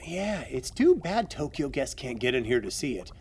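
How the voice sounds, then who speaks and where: A man's voice: medium pitch, very animated, moderate pace, vocal fry, a little mellow, slight background noise. A man acting as a confident, knowledgeable guide in an audio adventure drama, explaining things with emphatic storytelling. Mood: proud storytelling. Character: knowledgeable male guide and secret society insider, eager and confident.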